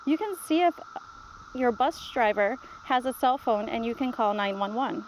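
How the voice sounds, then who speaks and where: A woman's voice: a little high, lively intonation, normal speed, slight background noise, close to an everyday voice, rough recording. A woman voicing a patient, reassuring grandmother who explains things simply in a children's storybook read-aloud. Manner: reassuring, instructive.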